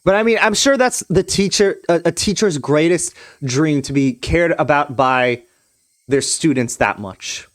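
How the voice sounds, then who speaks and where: A man's voice: a little high, animated, unhurried, no echo, clean studio sound. A young man from Trinidad and Tobago chatting with his stream viewers in a rambling way, full of restarts, now and then reading a game's text aloud. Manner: rambling, frequent restarts and hedging fillers. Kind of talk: casual live-stream chat with viewers, reading a visual novel aloud.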